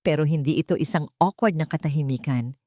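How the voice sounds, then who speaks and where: A woman narrates a scripted romantic story. Her voice sits low for a woman. The recording is dry, with very little room sound. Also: a little fast, warm tone, no echo.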